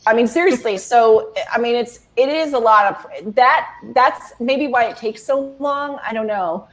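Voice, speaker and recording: A woman's voice: on the high side, measured pace, fairly bright tone. A woman in her forties, a guest author chatting casually, rambling with many restarts and fillers. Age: 40s. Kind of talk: casual video-call chat.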